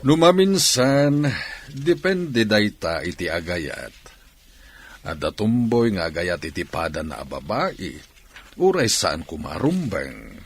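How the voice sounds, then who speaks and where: A man's voice: sits low, slow pace, slightly rough, exaggerated voice. An actor playing a bantering male police chief in a radio drama. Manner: animated, laughing. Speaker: police boss. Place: studio.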